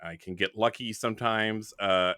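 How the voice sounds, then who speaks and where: A man's voice: on the low side, fairly even intonation, moderate pace, pushed and bright, clear, resonant tone, no room sound, clean studio sound. A man casually explaining and joking his way through a tabletop roleplaying game as its facilitator.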